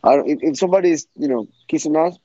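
A man's voice: fairly high pitch, very fast, mellow, no echo, highs cut off. A young man from Ecuador, giving frank, blunt interview answers full of restarts. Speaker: guest fighter.

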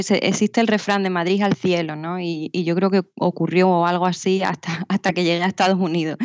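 A woman in her thirties from Spain with a southern Spanish accent, answering interview questions from home in a relaxed, reflective way. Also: on the low side, no room sound, dull audio. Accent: southern Spanish, Extremadura/Andalusia. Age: 30s. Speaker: guest writer.

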